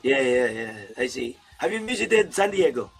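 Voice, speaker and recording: Gravelly, husky man hosting an interview. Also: medium pitch, big pitch swings, normal speed. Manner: friendly, rambling, laughs often. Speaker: YouTube host, interviewer. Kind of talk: casual interview chat.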